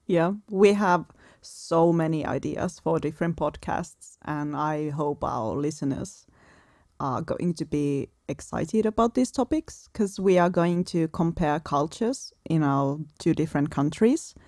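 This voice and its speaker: A woman's voice: sits low, expressive, measured pace, darkish tone, no echo, clean studio sound. A woman from Finland co-hosting a podcast, talking in an earnest, reflective, casual way and laughing often. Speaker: podcast co-host. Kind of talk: casual chat.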